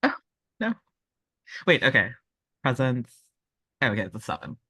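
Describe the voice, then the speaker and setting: A woman's voice: rumbling low, very animated, normal speed, sharp tone, no echo, clean studio sound. A woman playing a tabletop game, casually describing actions and thinking aloud.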